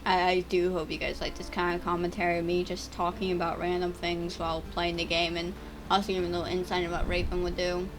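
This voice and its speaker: A teenage girl chatting and stammering through gameplay commentary on her own channel, with a voice she calls a little deeper and rougher over time. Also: monotone, fast talker, background noise. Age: teens. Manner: chatty, stammering, restarts words. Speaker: gaming YouTuber.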